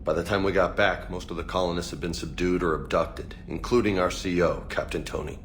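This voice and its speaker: Deep, rough-edged male soldier. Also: steady tone, fairly quick, fairly bright tone, slight background noise. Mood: troubled, reflective. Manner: informal, slangy, swearing. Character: rough, casual male soldier, easygoing but burdened. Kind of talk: conversational video game acting.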